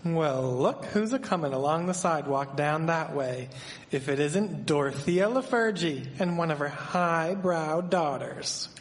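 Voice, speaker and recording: A man's voice: fairly high pitch, expressive, measured pace. A man playing a courteous, folksy, old-fashioned husband of the 1870s in a live radio drama. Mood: amused. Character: courteous, patronizing husband, townsman in 1873. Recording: echoey hall, live stage recording.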